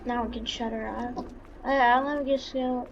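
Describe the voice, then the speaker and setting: Female gaming YouTuber, highish voice, unhurried and halting, casual roleplay commentary, lo-fi recording with faint vehicle noise. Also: fairly even intonation. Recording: dull lo-fi mic, faint background noise. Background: vehicle engine sounds from the game.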